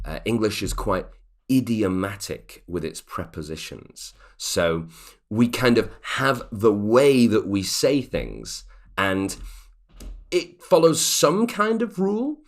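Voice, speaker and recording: A man teaching an online English grammar lesson, explaining patiently and checking in with the viewer as he goes. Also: lowish voice.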